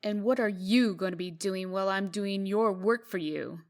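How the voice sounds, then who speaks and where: A woman playing a brisk, exasperated fairy-tale princess turned official in a comic dub, curt and guarded. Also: medium pitch, natural voice. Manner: curt, guarded, sharp-tongued.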